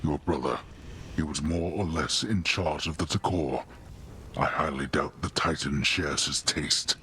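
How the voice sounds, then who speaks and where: A stern deep-voiced god king. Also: pushed and bright, a little hiss or hum, full character voice. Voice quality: deep commanding voice. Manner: grave, formal, measured. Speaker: game character. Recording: game audio with faint music.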